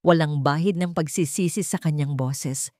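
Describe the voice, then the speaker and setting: A woman's voice: low-pitched, moderate pace, mellow, no room sound, clean studio sound. A woman storyteller reading dramatic scripted narration.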